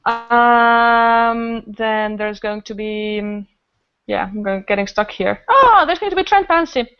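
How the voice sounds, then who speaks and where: A woman's voice: a little high, takes it slowly, clear, resonant tone, dry recording, soft background music. A woman chatting casually and enthusiastically on a podcast as a festival organiser, rambling as she goes. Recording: dull lo-fi podcast recording.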